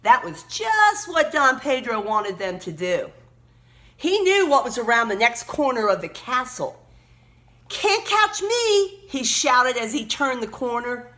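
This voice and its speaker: Animated, high-voiced woman reading a children's story. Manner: emphatic, pauses to point out words and pictures. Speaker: read-aloud storyteller. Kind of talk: expressive children's story read-aloud with teaching asides.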